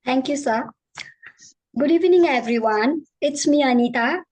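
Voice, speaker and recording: A woman's voice: sits high, normal speed, a little mellow. A woman from Nepal, a psychology student, giving an earnest, simple self-introduction on a video call.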